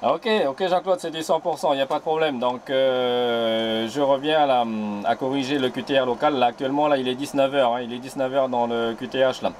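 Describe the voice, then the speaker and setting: A man from New Caledonia answering on a radio link as operator, unhurried and relaxed, dotting his talk with fillers. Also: medium pitch, slight background noise. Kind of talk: CB radio contact.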